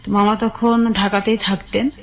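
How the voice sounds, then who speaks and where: A woman with a Bangladeshi accent tells her personal story in an interview, measured and step by step in short phrases. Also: mid-range voice, flat delivery. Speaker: guest telling her life story.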